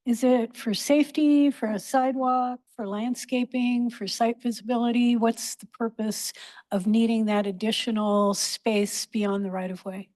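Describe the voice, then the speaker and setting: A woman's voice: on the high side, steady tone, unhurried, a little mellow. A woman on a city board asking pointed, detailed questions at a public hearing. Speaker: board member. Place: council chamber.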